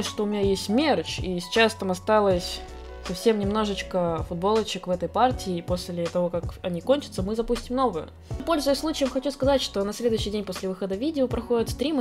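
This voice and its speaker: A young woman chatting casually to camera as an artist and animation vlogger, recorded on a desk microphone in a home room with computers. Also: a little mellow. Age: young adult. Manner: bubbly, chatty, pitch jumps up often, quick asides and laughs.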